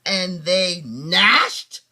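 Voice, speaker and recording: A man reading a children's story aloud as a father, with theatrical, drawn-out emphasis and chatty asides. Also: sits high, animated, pushed and bright.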